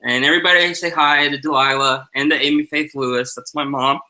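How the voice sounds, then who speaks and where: A man co-hosting an online talk show speaks in a very bright, cutting tone. He rambles and jokes loosely and sounds tired. He is talking into a headset over a video call from home. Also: on the high side, steady tone, a little fast. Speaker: co-host. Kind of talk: casual live-stream chat.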